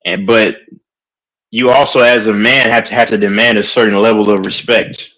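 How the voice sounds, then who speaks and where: A man on a livestream debate, talking in an animated, emphatic way with many restarts. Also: lowish voice, moderate pace, low-quality recording. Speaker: streamer.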